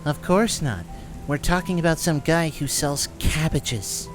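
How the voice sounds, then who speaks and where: A man voicing a sharp, sarcastic tiefling investigator in an audio drama, reasoning in a matter-of-fact way. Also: a little high, a little slow, music in the background, voice pushed up, natural voice.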